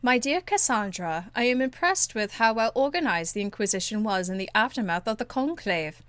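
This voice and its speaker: A woman's voice: a little high, lively intonation, moderate pace, fairly bright tone, smooth, dry recording. A woman voicing a proud, elegant, condescending court enchantress in a video game, with polished, haughty phrasing. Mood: poised condescension. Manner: haughty, polished, drawling endearments. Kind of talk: video game dialogue acting.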